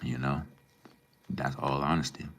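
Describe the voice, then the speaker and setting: Young man from Minnesota, extremely low voice; relaxed live-stream banter with chat. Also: unhurried, creaky, slightly rough. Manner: laid-back, relaxed slang, calls viewers bro and man. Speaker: livestreamer. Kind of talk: casual live stream chat, reading and answering viewer comments.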